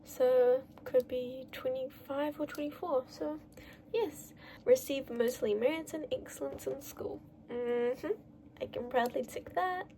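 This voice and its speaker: Young woman vlogger, loud and rambly, warm tone, slightly high. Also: unhurried, slight background noise. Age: young adult. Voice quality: naturally loud voice. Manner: bubbly, rambling, lots of "like". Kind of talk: casual chatty monologue.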